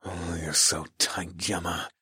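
A man's voice: mid-range voice, sing-song, brisk, no room sound, studio quality, natural voice. A man voicing a confident, teasing male lover in audiobook dialogue. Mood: seductive.

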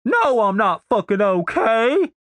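A narrator voicing a brash, foul-mouthed young country woman with a blunt, excitable, folksy drawl, as audiobook character dialogue. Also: mid-range voice, normal speed, dry recording, pitched above the performer's own voice. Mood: panicked, furious.

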